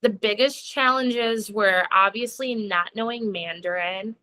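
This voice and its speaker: A woman's voice: mid-range voice, a little slow, bright, forceful tone, low-quality recording. A young woman from the United States, a guest in a casual video-call conversation, chatting freely with plenty of "like" fillers and laughing as she talks. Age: young adult. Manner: chatty, lots of "like" fillers, laughs while talking. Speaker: interview guest.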